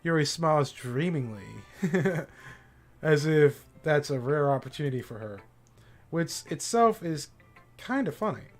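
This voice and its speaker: A man's voice: on the high side, animated, unhurried, faint music behind. A male streamer reading a visual novel's narration aloud in a casual, amused way.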